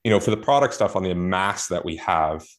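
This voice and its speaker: A man with a very low voice walks through how he builds things, in a relaxed podcast chat. He talks thoughtfully, often pausing on fillers and restarting mid-word. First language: not Portuguese. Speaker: guest, e-commerce marketer. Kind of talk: casual podcast conversation.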